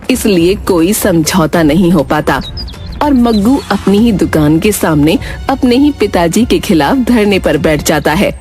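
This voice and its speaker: A woman's voice: lowish voice, darkish tone. A woman reading scripted narration for a children's moral story.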